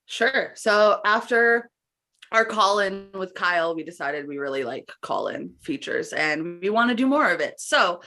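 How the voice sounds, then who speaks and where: A woman's voice: mid-range voice, animated, measured pace, pushed and bright. A woman from Canada co-hosting a podcast, chatting in an informal, slangy, conversational way. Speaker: podcast co-host. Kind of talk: casual podcast chat.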